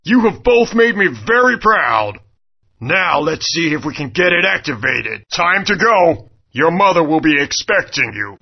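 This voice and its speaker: A man's voice: a little high, gravelly, husky, heavily acted. An actor voicing a regal, fatherly dinosaur king in a video game. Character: proud, kind old dinosaur king and father. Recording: dull lo-fi game audio.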